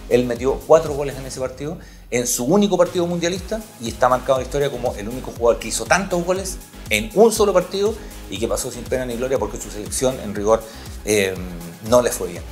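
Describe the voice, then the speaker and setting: A man's voice: medium pitch, lively intonation, fast, faint music behind. A man hosting a sports podcast, telling stories emphatically and repeating key points. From Chile. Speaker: podcast host, sports journalist.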